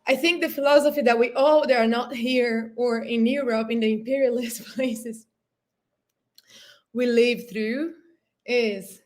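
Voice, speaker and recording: A woman in her thirties from Brazil, with a Brazilian Portuguese accent, whose first language is Portuguese. She speaks earnestly and emotionally on a panel over a video call, searching for words. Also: on the high side. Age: 30s. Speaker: panel guest. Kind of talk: impassioned panel discussion.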